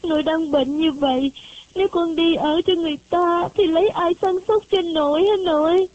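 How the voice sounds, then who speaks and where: Give me a very high, piping voice of an eight-year-old girl. She is devoted and tearful, pleading childishly with her grandma. The recording sounds dull, lo-fi and dry. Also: moderate pace, darkish tone, dry recording. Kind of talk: audiobook character voice.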